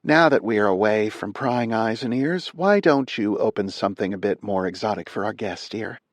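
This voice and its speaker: A man voicing a poised, wealthy woman hostess who gives gentle orders to her husband. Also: lowish voice, lively intonation, moderate pace, no echo. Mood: instructing.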